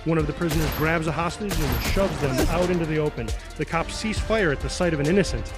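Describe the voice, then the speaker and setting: A man's voice: fairly high pitch, quick pace. A man narrating scripted superhero action, reading stage directions in a dramatic manner. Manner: dramatic, spoken sound effects. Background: music.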